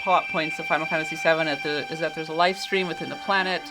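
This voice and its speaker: A man with a notably high voice gives casual commentary as a video game critic. He explains scenes in a chatty, conversational way. Loud game music runs behind him throughout. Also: fairly even intonation, fast.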